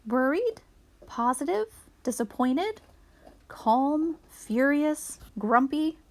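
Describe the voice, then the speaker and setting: A woman reading a children's picture book aloud in an expressive storytelling manner for young children. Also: high voice, takes it slowly.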